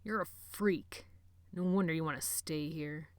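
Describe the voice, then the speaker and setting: A woman's voice: lowish voice, fairly quick, dry recording, lightly acted. A woman voicing a scornful boy leader. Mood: cold, scornful. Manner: cold, commanding. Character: hard, contemptuous boy gang leader. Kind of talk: audiobook character voice.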